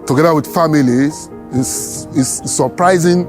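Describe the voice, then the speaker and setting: A lecturer, speaking slowly and devoutly with gratitude. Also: male voice, fairly high pitch. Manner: slow, devout, grateful. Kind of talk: personal testimony. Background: faint music.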